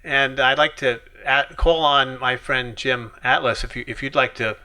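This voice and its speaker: Event moderator, man, courteous, crisp and bright tone. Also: mid-range voice, steady tone, moderate pace, low-quality recording. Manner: courteous, directing the discussion. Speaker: host and moderator.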